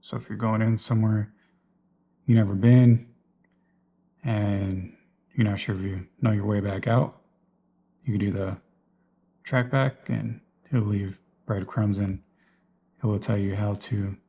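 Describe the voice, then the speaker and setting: A man's voice: lowish voice, steady tone, slow, creaky, long pauses, warm tone. A man giving a casual, unscripted walkthrough of his gear in a plain, explanatory way, like a vlogger. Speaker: vlogger presenting personal radio gear.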